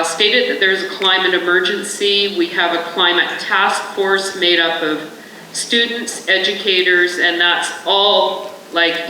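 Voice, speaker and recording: A woman's voice: lowish voice, bright, forceful tone, a little hiss or hum. A woman, a school trustee, making an earnest, rambling point from the audience at a panel. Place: large conference hall. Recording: echoey hall, mic from a distance.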